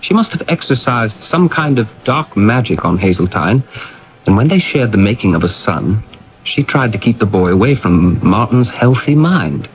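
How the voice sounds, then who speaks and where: A thoughtful man in a 1970s radio mystery, solemnly laying out his account. His voice is on the low side. The broadcast sound is dated and a bit dull. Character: thoughtful man explaining a writer's fate. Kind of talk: 1970s radio drama acting.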